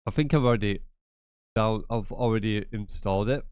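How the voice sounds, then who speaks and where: A man's voice: on the low side, brisk, darkish tone, clear, resonant tone, no echo. A man with a cold and a blocked, nasal voice, chatting casually as he walks through a gaming tutorial. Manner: chatty, repetitive, rambling asides. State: congested from a cold. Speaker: gaming channel host. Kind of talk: casual screen-share tutorial.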